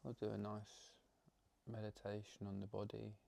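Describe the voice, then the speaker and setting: A man leading an unscripted guided meditation, speaking slowly in short, halting phrases with long pauses between them. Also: on the low side, completely flat delivery, gravelly, dark tone. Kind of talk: guided meditation, spoken off the cuff.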